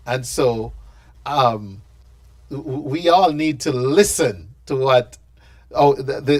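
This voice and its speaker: A man hosting a live talk show, energetic and hyping up his guest and partner stations. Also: medium pitch.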